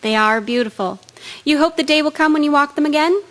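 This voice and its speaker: A woman playing a gentle, devoted nursing sister in a radio drama, formal, soothing and composed. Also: sits high, brisk. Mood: kindly, encouraging.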